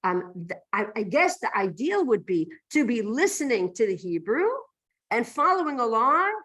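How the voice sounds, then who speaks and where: A woman's voice: a little high, very animated, moderate pace, a bit bright. A woman teaching a religious class, explaining texts emphatically and often posing rhetorical questions to her listeners. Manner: emphatic, poses rhetorical questions and checks "right?".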